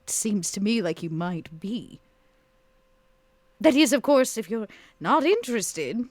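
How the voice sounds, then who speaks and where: A woman's voice: medium pitch, very animated, takes it slowly, no echo. A woman playing a tabletop role-playing game, speaking in character with a teasing, flirtatious manner. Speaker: player.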